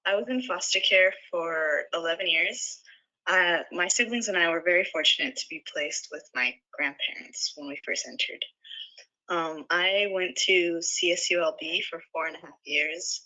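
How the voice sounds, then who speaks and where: A woman in her twenties introduces herself in a clear, orderly way. Her voice sits low for a woman and sounds bright and forceful. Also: moderate pace, poor audio. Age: 20s. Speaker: former foster youth and graduate. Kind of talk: scripted self-introduction in a recorded video.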